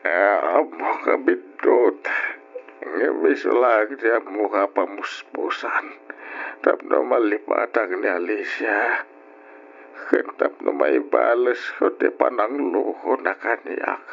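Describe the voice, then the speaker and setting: A man's voice: on the low side, slow pace, creaky, a bit bright, husky, full character voice. An actor playing a guilt-ridden, sorrowful father who pleads in an Ilocano radio drama. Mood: remorseful, guilty. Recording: dull, hissy old radio recording.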